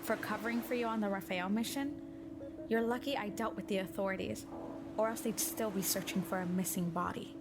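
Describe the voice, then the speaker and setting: A woman's voice: medium pitch, fairly even intonation, brisk, loud music behind the voice, lightly acted. A woman playing a determined, assured female secret agent in an audio drama, speaking assertively. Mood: guarded, pressing. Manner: assertive, insistent.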